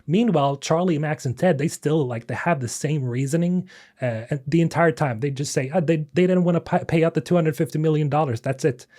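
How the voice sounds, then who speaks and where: A young man giving casual gaming news commentary, animated and incredulous, with a voice getting raspy and tired. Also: fairly high pitch, a little fast. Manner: animated, incredulous, with frequent fillers and laughs.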